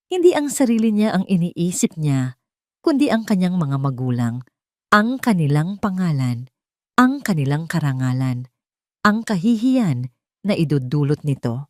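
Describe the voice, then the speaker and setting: A woman's voice: deep voice, sing-song, a little slow, no echo, studio quality. A woman narrating a scripted romantic drama as a storyteller, in a dramatic style.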